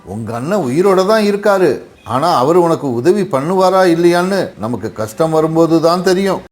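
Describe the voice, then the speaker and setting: A man's voice: a little high, expressive, normal speed, close to an everyday voice. A man voicing a hardworking, long-suffering father crow in a cartoon story, resigned and reproachful. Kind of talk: Tamil animated moral story acting.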